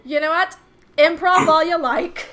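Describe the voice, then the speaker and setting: A woman streaming a game, reading its text aloud and chatting in an expressive, giggly way. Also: very high-pitched, measured pace, crowd noise. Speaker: streamer playing a dating sim.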